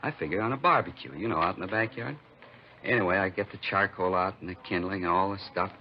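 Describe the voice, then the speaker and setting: An actor playing a homely, plain-spoken American police detective, the sidekick in a 1950s radio drama, folksy and conversational. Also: male voice, deep voice, quick pace, lightly acted. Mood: grumbling, glum. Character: grumbling, homely married male police detective partner. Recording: dull 1950s radio recording, faint noise.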